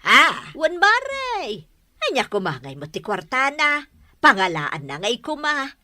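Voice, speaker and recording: A woman's voice: sits high, wildly swooping pitch, unhurried, bright, forceful tone, dry recording, full character voice. A woman with an Ilocano accent playing a nosy, envious gossip of an auntie in an audio drama, scoffing and drawling. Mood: scornful gossip. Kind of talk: Ilocano radio-style drama acting.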